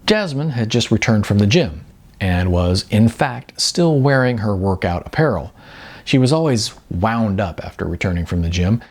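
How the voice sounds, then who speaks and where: A man who is an author reading his own novel as audiobook narration, with expressive emphasis and drawn-out words. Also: on the low side.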